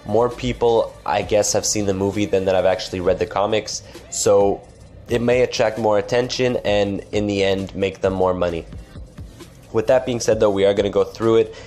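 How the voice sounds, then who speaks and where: A man with a deep, low voice, nasal and stuffed up from a cold, rambles casually through gaming news as a channel host. He leans on repeated stock phrases and restarts. Faint music plays quietly under him. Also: steady tone, moderate pace. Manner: chatty, lots of restarts and filler phrases. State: congested from a cold. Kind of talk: casual game news commentary.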